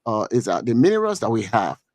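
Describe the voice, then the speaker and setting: Halting Liberian man, dry close sound. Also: lowish voice, wildly swooping pitch, darkish tone, no room sound. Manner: halting, repeats words often, many fillers. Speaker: commentator on a streamed talk show. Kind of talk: political commentary.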